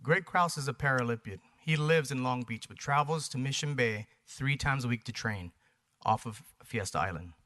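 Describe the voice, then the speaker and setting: A man's voice: medium pitch, normal speed, bright, forceful tone. A man from Hawaii with an American accent giving a persuasive public presentation, emphatic, in short punchy phrases and rhetorical questions. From Hawaii, United States. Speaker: presenter for a paddling community. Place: planning commission meeting.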